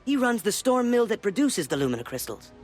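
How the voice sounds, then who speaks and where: A man playing an eager, slightly smug young student wizard in a video game, expressive and bookish. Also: sits high, a little fast, soft background music. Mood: curious. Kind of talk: video game character acting.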